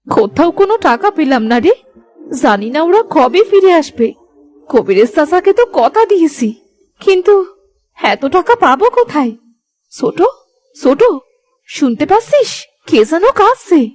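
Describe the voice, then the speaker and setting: Woman as high-voiced caring young village wife. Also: sing-song, normal speed, long pauses, darkish tone, faint music behind. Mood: earnest, worried. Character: caring young village daughter-in-law, elder and protective. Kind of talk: animated moral story acting.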